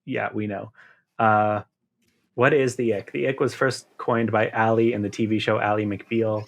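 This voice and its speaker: A man's voice: lowish voice, flat delivery, normal speed, gravelly. A man co-hosting a podcast, talking in a casual, conversational style. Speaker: podcast co-host and editor. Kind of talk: casual chat.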